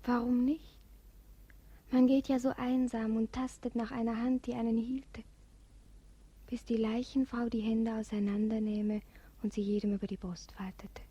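An actress playing a young princess in a 1950s radio play, dreamy and lyrical, often sinking to a whisper. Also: female voice, on the high side, flat delivery, moderate pace, mellow, breathy edge, lo-fi sound. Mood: anguished. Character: melancholy young princess fleeing an arranged marriage.

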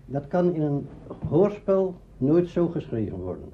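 A man's voice: fairly high pitch, very animated, measured pace. Older man reminiscing, dull lo-fi sound. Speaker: veteran radio drama actor. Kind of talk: reminiscing in an interview. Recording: dull lo-fi archive recording, faint noise.

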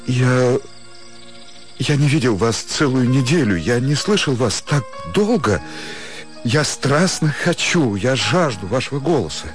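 A man's voice: medium pitch, lively intonation, unhurried, close to an everyday voice. An actor playing an earnest, lovestruck country doctor in a radio drama, pleading ardently and brooding over his doubts. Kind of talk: theatrical radio drama acting. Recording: music behind the voice.

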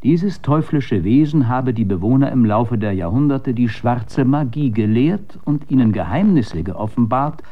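A man narrating a literary radio story in a dramatic, measured and suspenseful way, letting phrase endings drop to a hush. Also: medium pitch, animated. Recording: slightly dull radio recording.

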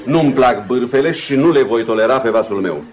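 An actor playing an authoritative, courteous but firm ship's captain in a radio drama, with formal, measured delivery. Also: male voice, mid-range voice, lively intonation, a little mellow, dull audio, lightly acted. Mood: stern. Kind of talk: Romanian radio theatre acting.

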